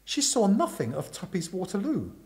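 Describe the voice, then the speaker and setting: A man voicing an excitable, dramatic young upper-class gentleman with exclamatory old-fashioned slang. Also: high voice, normal speed, pitched above the performer's own voice, exaggerated voice. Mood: incredulous.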